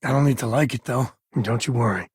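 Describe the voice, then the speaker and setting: Video game space explorer dad: very husky mid-pitched voice, folksy, wry, easygoing, close and dry. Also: male voice, fairly quick, a little mellow, dry recording. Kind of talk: video game character dialogue.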